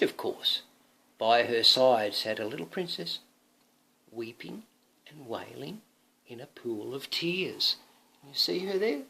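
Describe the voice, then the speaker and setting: A man reading a picture book to his young son, expressive, with coaxing asides to the child. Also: mid-range voice, gravelly, slight background noise, rough recording. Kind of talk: animated storybook read-aloud.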